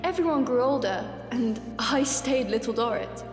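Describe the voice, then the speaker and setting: A young woman acting a gentle, timid, selfless prisoner's daughter in a period audio drama, meek and earnest. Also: on the high side, expressive, normal speed, close to an everyday voice. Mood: quiet, wistful. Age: young adult. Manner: soft-spoken, meek, earnest. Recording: echoey hall reverb, faint music.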